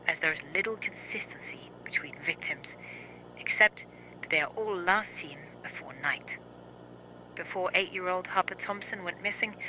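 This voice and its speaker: A performer playing a formal narrator of news reports and training tapes, reading in a measured scripted style. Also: mid-range voice, slight background noise, low-quality recording. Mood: grave, official. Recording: loud music under narration. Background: music.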